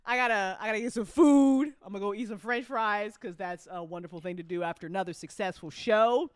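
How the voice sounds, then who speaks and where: A woman's voice: medium pitch, brisk, clean tone, no echo, clean studio sound. A woman hosting a casual live chat show, exuberant and slangy, teasing people and swearing freely. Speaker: host of audio drama after show, show creator.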